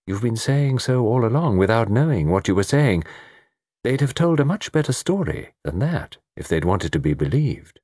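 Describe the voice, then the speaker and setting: A man voicing a placid, enigmatic British gentleman in a storybook, murmuring in a measured, dreamy, knowing way. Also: deep voice, wildly swooping pitch, vocal fry, breathy edge, no room sound, studio quality, heavily acted. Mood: dreamy, leading.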